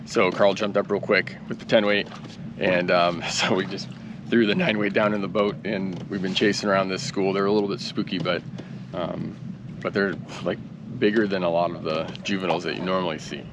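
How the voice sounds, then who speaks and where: A man's voice: deep voice, noisy background. A man in his thirties hosting a fishing vlog from a small boat outdoors, talking casually into a close action camera with fillers and easy laughs. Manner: relaxed, chatty, with fillers and quick laughs. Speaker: fly fishing guide and host. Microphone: action camera held close. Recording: outdoor camera audio with music bed. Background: background music.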